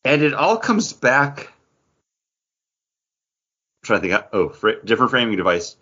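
Husky, animated man chatting about geek stuff. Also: medium pitch, unhurried, dull audio. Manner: enthusiastic geeky fan talk. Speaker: podcast co-host. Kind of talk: casual pop-culture chat.

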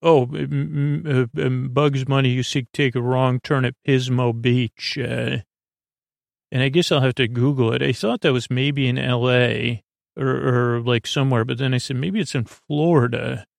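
A man with a medium-pitched voice full of creak delivers a sleep podcast monologue. He meanders and stumbles, often restarting and losing his thread. The sound is very dry, with no room echo. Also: fairly even intonation. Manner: meandering, halting, full of digressions and false starts. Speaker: podcast host.

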